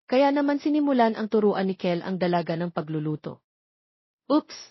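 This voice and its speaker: A Filipino woman narrates a romance story as an audiobook reader. Her voice sits at a middle pitch. The recording is very dry and close, with a slightly dull top end. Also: expressive, fairly quick, dry recording.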